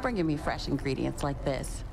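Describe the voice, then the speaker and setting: An actress playing a sweet, sassy nymph who cooks, in a video game, with a folksy teasing manner. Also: female voice, very deep voice, normal speed, creaky, noisy background. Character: Eurydice, sassy, homey, sweet nymph cook.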